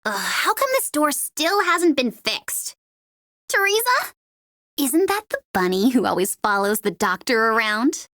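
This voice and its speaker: Cocky, animated young female mercenary. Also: sits high, measured pace, no room sound, studio quality, full character voice. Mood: dry. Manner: taunting, sardonic, playful. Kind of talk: video game character voice lines.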